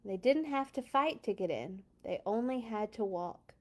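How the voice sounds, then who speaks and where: A woman's voice: medium pitch, animated, moderate pace. A woman hosting a children's read-along, reading a storybook expressively with chatty, playful asides and dramatic emphasis.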